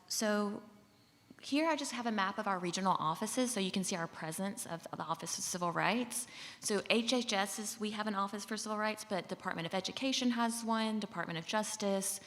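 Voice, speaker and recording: A woman's voice: medium pitch, fairly quick. Southern-accented woman presenting in a hall. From Mississippi. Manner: friendly, relaxed, with fillers. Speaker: government official giving a talk. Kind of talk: conference presentation. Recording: long hall reverb.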